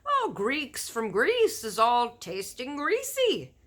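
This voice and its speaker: A huge, blustering storybook giant, acted out by a woman, declaims in a forceful, swooping voice. The delivery is loud, emphatic and drawn out, full of indignant bluster and folksy, mangled grammar. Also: sits high, wildly swooping pitch, takes it slowly, voice pushed up, full character voice. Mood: boastful, explaining. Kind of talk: children's storybook read-aloud.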